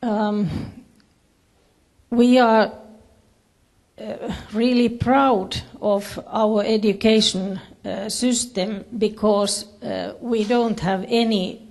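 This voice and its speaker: A woman with a Finnish accent giving a presentation in English as a second language, haltingly searching for her words. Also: mid-range voice, fairly even intonation, a little mellow. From Finland. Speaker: conference speaker, education official. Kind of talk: presentation to an audience with slides.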